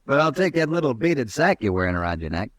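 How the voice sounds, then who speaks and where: An actor playing a brash, mocking young army lieutenant in a 1950s radio drama, swaggering and quick to laugh at others. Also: male voice, mid-range voice, big pitch swings, a bit bright, no room sound. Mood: taunting. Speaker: cavalry lieutenant.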